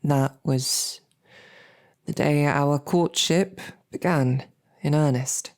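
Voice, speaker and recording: An actress playing a smitten lowborn royal guard in an audio roleplay, with a halting, self-deprecating, earnest way of speaking. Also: female voice, darkish tone. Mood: smitten, awkward. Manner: halting, self-deprecating, colloquial tag questions. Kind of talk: scripted audio roleplay, halting heartfelt banquet speech.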